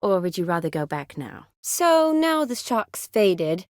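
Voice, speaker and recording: A woman's voice: lowish voice, big pitch swings, unhurried, dry recording, studio quality. An actress voicing a spirited, teasing young woman medic in a fantasy video game, conversational and wry. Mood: teasing, friendly.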